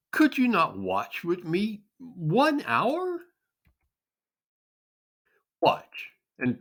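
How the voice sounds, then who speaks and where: A man's voice: a little high, pushed and bright, voice pushed up, exaggerated voice. A man voicing a grave, tender and sorrowful holy teacher in a dramatic scripture reading, solemn and drawn out. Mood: pained reproach.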